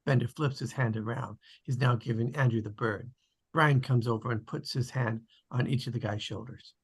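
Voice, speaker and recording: A man's voice: mid-range voice, fairly even intonation, normal speed, husky. A man narrating, reading out stage directions at a script table read.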